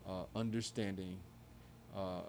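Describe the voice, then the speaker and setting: A man in his thirties with a fairly low voice, talking things through in an earnest, reflective way. He often restarts mid-sentence and fills pauses with ums. Faint noise sits under the recording. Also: fairly even intonation, a little mellow. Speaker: podcast co-host. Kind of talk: conversational discussion.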